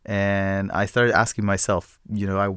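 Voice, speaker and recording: Very deep, even-toned man from Egypt. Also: clean tone, dry recording, clean studio sound. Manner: thoughtful, measured, frequent fillers. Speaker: guest, music project co-founder. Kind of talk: interview answers.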